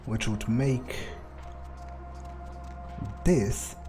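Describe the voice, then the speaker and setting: A man playing a video game in character, thinking aloud in a musing, deliberate way with pauses. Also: mid-range voice, warm tone, faint music behind. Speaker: let's player.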